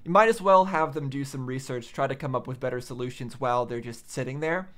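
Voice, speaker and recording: A man giving casual, thoughtful reaction commentary, thinking aloud in a halting way. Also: mid-range voice, a bit bright. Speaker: reaction video host.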